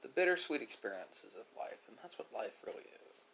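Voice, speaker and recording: A man with an average-pitched voice delivers an unscripted, reflective monologue to an online audience. He talks in a philosophical way, breaking phrases with pauses and correcting himself along the way. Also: sing-song, vocal fry, a bit bright, a little hiss or hum, rough recording. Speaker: ASMR video creator. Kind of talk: unscripted musing monologue to viewers during a mukbang.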